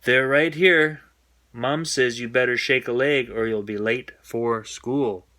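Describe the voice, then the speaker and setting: A man's voice: medium pitch, takes it slowly, pushed and bright. A man reading a storybook, voicing a bossy, teasing little sister. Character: cheeky, bossy little sister D.W. from a picture book.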